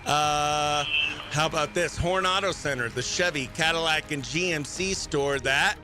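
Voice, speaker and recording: A man's voice: a little high, a little slow, music in the background, poor audio. A man hosting a live morning radio show, chatting exuberantly and slangily as he runs giveaways and phone-in games.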